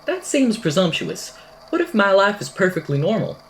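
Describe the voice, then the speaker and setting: A woman's voice: mid-range voice, big pitch swings, moderate pace, noisy background. A woman playing an eccentric, whimsical podcaster in an amateur audio drama, telling a strange tale in a verbose, wry, theatrical way. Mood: musing, wry. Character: eccentric, whimsical podcaster recounting a supernatural statement.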